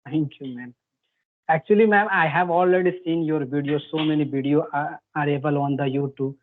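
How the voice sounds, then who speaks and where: A young man from India, a native Hindi speaker, speaks halting, deferential English with an Indian accent on a tinny call. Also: fairly high pitch, a little hiss or hum. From Bihar, India. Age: young adult. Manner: halting non-fluent English, repeats phrases, deferential. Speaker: host, English learner. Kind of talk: casual conversational English practice chat. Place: remote call. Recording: tinny phone-like internet call.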